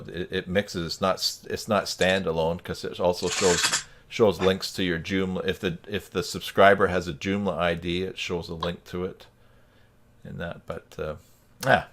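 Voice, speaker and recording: A man's voice: deep voice, fairly bright tone. A man in casual conversation on a group video call, thinking aloud in a rambling, tentative way. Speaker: participant in a web tutorial livestream.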